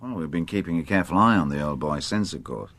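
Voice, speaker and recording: A man's voice: low-pitched, expressive, quick pace, a bit bright. A man acting a shrewd, dogged police inspector in a radio drama, polite, guarded and understated in manner. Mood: weary, matter-of-fact.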